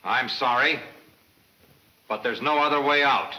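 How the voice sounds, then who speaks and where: An actor playing a hard-nosed, cool-headed renegade police detective, terse and firm, in 1940s film serial style on an old soundtrack. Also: male voice, medium pitch, measured pace, pushed and bright, dull audio. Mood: grimly firm.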